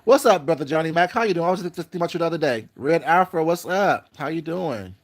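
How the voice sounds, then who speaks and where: A man's voice: fairly high pitch, lively intonation, fast. A man hosting a casual livestream, rambling through his thoughts, repeating words and greeting viewers by name. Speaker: YouTube livestream host. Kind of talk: casual livestream chat reading comments.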